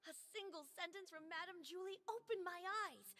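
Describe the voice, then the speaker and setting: A woman voicing an earnest, expressive female video game character with theatrical delivery, recorded with long reverb. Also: very high voice, normal speed. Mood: wistful.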